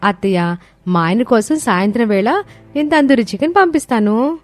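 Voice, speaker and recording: A woman's voice: fairly high pitch, expressive, normal speed, dry recording, natural voice. A woman voicing a clever, respectful young daughter-in-law in a cartoon family story, polite and earnest. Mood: reassuring. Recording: music bed under voice.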